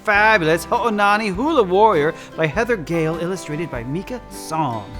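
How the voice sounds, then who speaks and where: High-voiced theatrical man, campy storyteller. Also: normal speed, faint music behind. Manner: effusive, campy, often calls listeners "darlings"; dramatic, expressive delivery. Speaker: drag queen storyteller and guest. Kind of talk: theatrical read-aloud of picture books with chatty asides.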